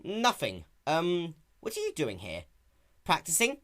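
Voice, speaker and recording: Man voicing a nervous little boy dragon, raised pitch. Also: huge pitch swings, unhurried, a bit bright, exaggerated voice. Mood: awkward, innocent. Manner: hesitant, filler-laden.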